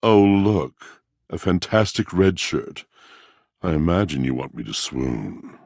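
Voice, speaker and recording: A man's voice: low voice, big pitch swings, takes it slowly, gravelly, a little mellow, dry recording, full character voice. A man voicing a dry, sardonic, unimpressed showman in a video game.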